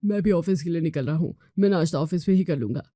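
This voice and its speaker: A woman's voice: lowish voice, fast, dark tone, dry recording, studio quality, close to an everyday voice. A woman voicing a reproachful young husband who reasons with his family in a storybook tale. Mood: annoyed. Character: reproachful young husband, son caught between wife and mother.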